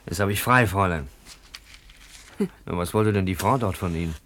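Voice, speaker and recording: A man's voice: low voice, fast, a bit bright, a little hiss or hum. An actor playing a dashing, self-important young policeman and suitor in a radio play. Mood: jovial, dutiful.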